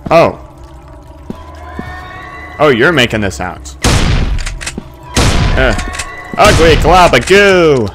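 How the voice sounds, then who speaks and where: A man's voice: fairly high pitch, sing-song, drawn-out words, long pauses, background noise. A man giving casual let's-play commentary, muttering asides and bursting into sudden exclamations, as host of a gaming video. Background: game music and sound effects.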